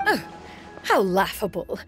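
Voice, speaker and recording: A woman's voice: mid-range voice, sing-song, measured pace. A woman voicing a proud, aloof immortal bird sage for a video game, with haughty, formal, archaic diction. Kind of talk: video game character acting. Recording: studio voice over game music.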